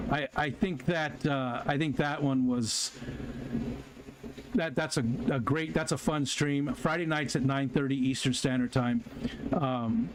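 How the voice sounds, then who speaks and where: A man hosting his own live stream, chatting casually with viewers in a rambling way, with lots of fillers and restarts. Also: mid-range voice. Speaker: livestream host. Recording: noticeable background noise.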